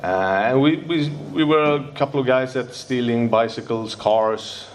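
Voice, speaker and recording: A man from Sweden with a Swedish accent, Swedish his first language, sharing his recovery story at a meeting, nervous and halting as he searches for English words. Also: medium pitch, a little hiss or hum.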